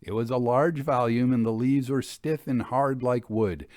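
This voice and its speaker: A man giving a formal, measured and expressive storytelling read of an old Victorian ghost account. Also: medium pitch, no echo, studio quality. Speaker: audiobook reader. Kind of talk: dramatic scripted narration of a Victorian ghost account.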